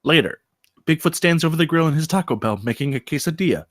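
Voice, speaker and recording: A man's voice: a little high, animated, quick pace, fairly bright tone, no echo, clean studio sound. A man reading out stage directions as the narrator of a screenplay table read.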